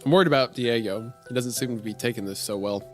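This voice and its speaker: A man reading as a deadpan gas station clerk, telling a strange story in the first person in a dry way. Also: mid-range voice, quick pace, close to an everyday voice. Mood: dry, uneasy. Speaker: podcast host reading a horror story aloud. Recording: music behind the voice.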